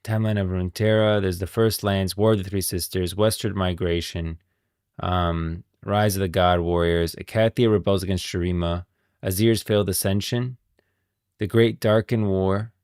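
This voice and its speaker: A man's voice: low voice, fairly even intonation, moderate pace, a little mellow, clean tone, no echo, clean studio sound. A man streaming casual game lore commentary, rambling and thinking aloud as he goes, often repeating himself. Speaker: streamer, host.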